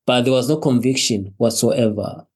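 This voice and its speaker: A man from Zimbabwe, a podcast guest telling his personal story in a halting way, repeating words and laughing between phrases. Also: mid-range voice, a little mellow.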